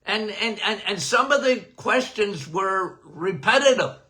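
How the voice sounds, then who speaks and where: High-voiced, bright-toned older man. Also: measured pace. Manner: animated, emphatic, repeats "okay?"; says he has a loud voice. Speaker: podcast host, doctor. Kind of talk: casual health talk.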